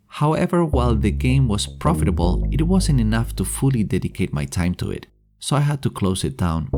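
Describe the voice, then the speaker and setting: A middle-aged man reads a scripted vlog in a fairly low voice. His intonation moves around in a lively way, though his manner stays low-key and sincere. Prominent music plays behind him. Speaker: indie game developer and devlog host. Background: background music.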